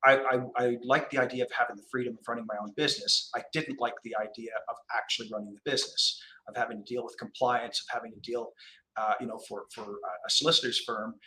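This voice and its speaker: Canadian man, middle-aged, interview guest; bright forceful tone, thoughtful halting delivery, slight background hiss. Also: mid-range voice, fairly even intonation, rough recording. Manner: measured, hesitant, many restarts and fillers. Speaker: commercial lawyer, guest. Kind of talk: relaxed interview conversation.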